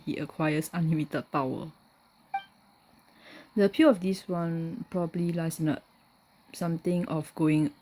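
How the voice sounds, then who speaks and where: A woman's voice: sits low, dark tone, rough recording. A woman gamer giving casual commentary and reading game text aloud as she plays. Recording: game music behind the voice. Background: game music.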